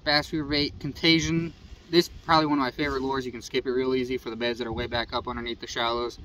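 A man's voice: medium pitch, flat delivery, quick pace, bright, forceful tone. A young man with a Midwestern American accent, casually running through his fishing gear to camera, talking in an easygoing, rambling way. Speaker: fishing channel host. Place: outdoors beside a house. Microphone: phone camera at arm's length. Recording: slightly distant phone audio, faint outdoor noise.

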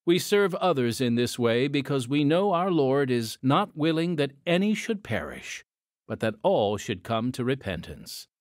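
A man's voice: medium pitch, very animated, unhurried, dry recording, studio quality. A man reading a scripted message as a radio announcer.

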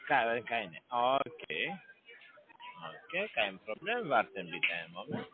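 Non-native male caller, halting and repetitive, lively pitch, phone-line sound. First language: not German. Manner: halting broken German, repeats words. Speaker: scam call center caller. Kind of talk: phone call.

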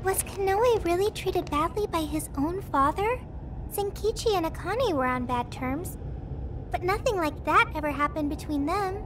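A woman's voice: extremely high pitch, lively intonation, moderate pace, background noise. A woman voicing an innocent, curious girlish AI companion in an anime game, speaking with naive, precise earnestness. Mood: earnest, curious.

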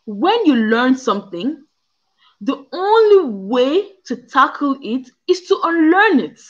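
A woman's voice: high voice, very animated, slow. A young African woman co-hosting a casual discussion from home over a video call, explaining earnestly with examples. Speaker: co-host. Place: indoors at home. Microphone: video call, front camera. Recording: muffled video call audio.

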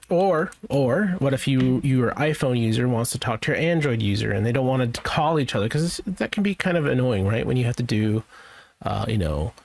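Gravelly man talking through a software demo. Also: medium pitch. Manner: rambling, thinking aloud. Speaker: tech tutorial presenter. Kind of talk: casual, unscripted software walkthrough.

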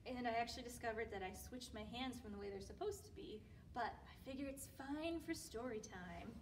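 A woman's voice: a little high, a little fast, slight background noise. A young woman hosting a children's storytime, talking informally and directly to young kids. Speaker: children's librarian and storytime host. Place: indoors, library room with bookshelves. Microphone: distant camera microphone. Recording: distant camera microphone pickup.

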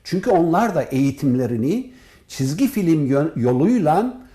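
A man giving formal, measured interview answers as an academic guest, as if from prepared notes. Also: a little high, very animated, dark tone. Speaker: professor and department head, interview guest.

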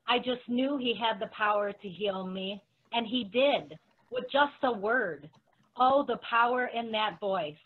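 Woman reading as a grateful devoted follower, earnest, highish voice, tinny phone-like call sound. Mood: grateful, awed. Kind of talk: amateur dramatic dialogue reading. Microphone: video call.

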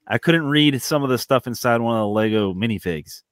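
Teasing man with a lively, swinging pitch. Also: a little fast, dry recording, studio quality. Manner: teasing, blunt. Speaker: panelist. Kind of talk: casual joking banter. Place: video call from a room at home.

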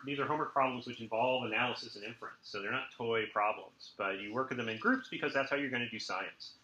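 A man's voice: medium pitch, bright, forceful tone. A man giving an informal university lecture, with wry, jokey asides. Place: lecture room with students present. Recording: roomy lecture recording.

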